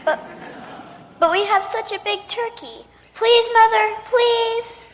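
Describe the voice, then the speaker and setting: A woman's voice: very high-pitched, slow, in a large hall, heavily acted. A woman playing a polite, eager little girl in an old-time radio play. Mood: pleading.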